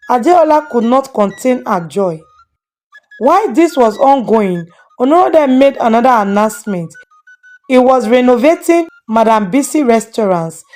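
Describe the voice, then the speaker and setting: High-voiced woman storyteller. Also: a little mellow. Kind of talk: scripted moral folktale narration.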